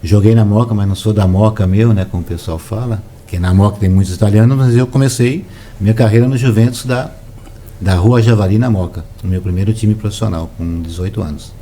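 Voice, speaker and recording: A man, a relaxed, soft-spoken storyteller reminiscing in a casual interview. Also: on the low side, a little fast, slight background noise. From São Paulo, Brazil. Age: 60s. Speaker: interview guest, former footballer.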